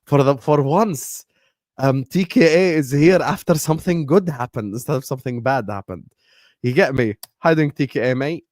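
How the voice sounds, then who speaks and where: A man with a mid-pitched voice hosts a casual football chat, teasing his guests in quick banter and laughing often. The recording sounds dry and close-miked. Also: darkish tone, no room sound. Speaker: podcast host.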